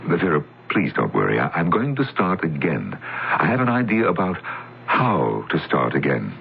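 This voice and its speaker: An actor playing a troubled male scientist who narrates in a halting, confessional way in an old radio drama. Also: low voice, big pitch swings, creaky, full character voice. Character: troubled male scientist haunted by his past. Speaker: narrator and lead character. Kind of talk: 1970s radio drama acting. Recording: tinny old radio broadcast with music.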